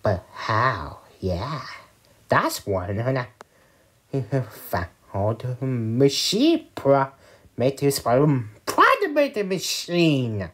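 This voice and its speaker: An excitable cartoon character from a homemade skit, acted out by a woman. The voice is husky and slow. It stumbles and repeats itself, yet its pitch moves around in an animated way. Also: full character voice. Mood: halting, explaining. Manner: halting, stumbling delivery with repeated words.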